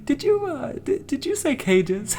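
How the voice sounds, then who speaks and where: A woman playing a jittery, sly property buyer in an audio drama, halting and repeating words with nervous chuckles. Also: sits high, very animated, a little mellow. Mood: nervous, eager.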